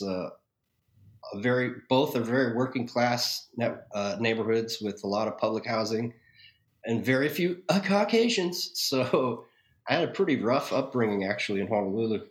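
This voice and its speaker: A man's voice: mid-range voice, moderate pace, reverberant. A man from Hawaii with an American accent speaks as a podcast guest, telling opinionated stories in a wordy, erudite, wry way. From Hawaii, United States. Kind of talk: conversational interview, opinionated storytelling.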